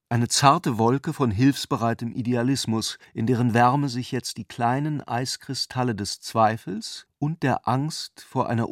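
A man reading literary narration for a radio drama in a measured, articulate way. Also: mid-range voice, dry recording, clean studio sound.

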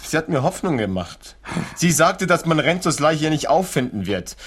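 An actor playing a meek, resentful, lovesick man in a radio play, his voice husky. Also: on the high side, sing-song, normal speed. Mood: pleading, wistful.

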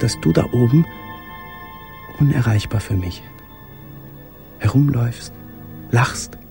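A man acting a brooding, tender, secretive letter writer in a radio play, with halting, deliberate phrasing. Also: medium pitch, gravelly. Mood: longing.

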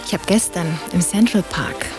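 A woman's voice: on the low side, moderate pace, a little mellow, faint music behind, close to an everyday voice. A woman playing a romantic, demanding, impulsive mistress in a German radio drama. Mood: petulant. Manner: impulsive, petulant.

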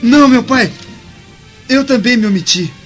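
A pleading young Roman son, very high in voice and earnest. It is declamatory radio drama. The recording is dull, with faint music. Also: male voice, normal speed. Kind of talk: dramatized radio play acting.

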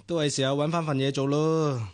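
A man's voice: fairly high pitch, little pitch movement, a little fast, darkish tone, close to an everyday voice. A man acting a charming, flirtatious amateur poet in a Cantonese radio drama, with a Hong Kong accent and an easygoing, bantering way of talking.

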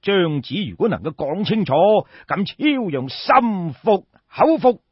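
A male narrator puts on a proud court official, courteous on the surface and full of doubt. He questions formally in a husky voice with swooping pitch, recorded in an echoey, slightly dull room. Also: very animated, a little slow, full character voice. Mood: grudging, puzzled.

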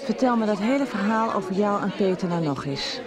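An actress playing a playful, curious therapist out with a friend in a radio soap, teasing and inquisitive. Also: female voice, mid-range voice, expressive, a little fast, natural voice. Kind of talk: Dutch radio soap acting. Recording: music and crowd behind the voice.

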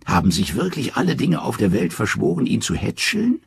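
A man's voice: medium pitch, huge pitch swings, brisk, creaky, slightly rough, pitched above the performer's own voice, heavily acted. A man voicing a scheming male trickster god, speaking in a thin, wheedling voice.

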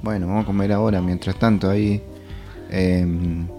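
Un actor como hombre servicial, con música detrás. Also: male voice, low voice, little pitch movement, moderate pace, over music, natural voice. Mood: obliging, casual. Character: obliging man serving sausage sandwiches to friends. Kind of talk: naturalistic radio play dialogue.

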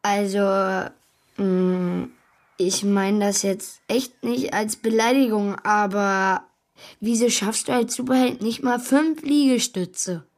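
A woman's voice: medium pitch, steady tone, slow pace. A woman playing a wide-eyed, loyal young boy in a children's radio play, polite and earnest. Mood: hesitant.

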